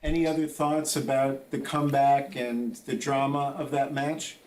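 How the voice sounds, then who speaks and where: A man's voice: medium pitch, level tone, normal speed, a little mellow, slight background noise. Male reporter in an echoing room. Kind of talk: press conference questioning. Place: indoor press conference room. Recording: roomy, echoing press room.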